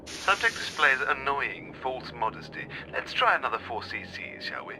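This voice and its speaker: An actor playing a clinical, sinister interrogator scientist in a video game, prim and menacing. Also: male voice, medium pitch, sing-song, moderate pace, noisy background, natural voice. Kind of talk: video game cutscene acting.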